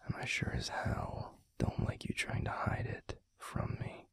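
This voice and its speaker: A man playing a tender, protective werewolf boyfriend in an intimate ASMR roleplay, speaking in a breathy, gravelly, soothing whisper. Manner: soothing, slow, gently coaxing. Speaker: audio roleplay voice actor.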